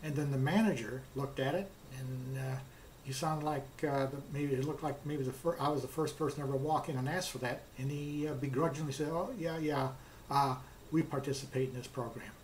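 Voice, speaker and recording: A man's voice: medium pitch, steady tone, brisk, slight background noise, poor audio. A man casually telling a personal anecdote in a plainspoken way, recounting back-and-forth dialogue as he goes. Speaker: car customer telling his experience.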